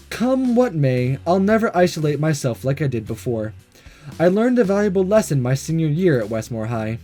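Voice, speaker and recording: Brief: let's play host, male, lively swinging delivery, jokey and laughing, light music bed. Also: a little high. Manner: animated, laughing, jokey asides. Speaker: gaming video host. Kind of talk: let's play narration and commentary.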